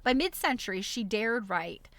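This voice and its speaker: A woman hosting a live stream, reading a book aloud in an expressive, amused way with chatty, casual asides. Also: on the high side, moderate pace, creaky, slight background noise.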